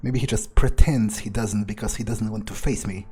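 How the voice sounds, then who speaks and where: A man playing a wary, ruthless vampire on the hunt, thinking aloud in halting, hesitant phrases over a video game. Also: on the low side, steady tone, warm tone, faint music behind, lightly acted. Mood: musing, calculating. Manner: musing, hesitant, halting self-corrections. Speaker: let's player role-playing a game character. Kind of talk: in-character improvised gameplay narration, thinking aloud.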